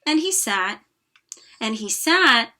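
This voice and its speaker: High, animated woman reading a storybook. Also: slow. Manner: expressive, dramatic emphasis with hushed asides.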